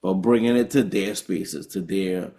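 A man's voice: deep voice, a little slow, crowd noise. A man with a Trinidadian accent, a touring jazz musician answering interview questions earnestly, often restarting his phrases.

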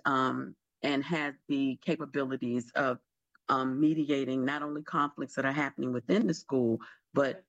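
A man describing his mediation program on a radio talk show, pausing often with fillers. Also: mid-range voice, creaky, no room sound, muffled recording. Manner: hesitant, many fillers. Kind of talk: radio interview.

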